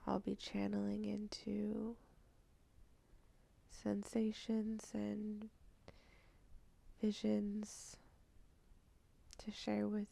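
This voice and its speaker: A woman leading a channeled guided meditation, speaking softly and half-whispering, with sighs and long pauses. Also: mid-range voice, completely flat delivery, vocal fry. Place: indoors at night.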